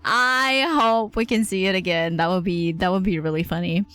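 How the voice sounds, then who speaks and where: A woman's voice: fairly low pitch, moderate pace, fairly bright tone, no room sound. A woman game streamer giving casual, chatty live commentary, playfully reacting aloud as she goes.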